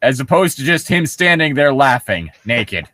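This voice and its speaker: A man's voice: mid-range voice, sing-song, normal speed, bright, forceful tone, dry recording, studio quality. A man hosting a casual read-along, switching between reading a story and sarcastic, nitpicking, sometimes profane commentary. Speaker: host reading a story aloud with mocking commentary.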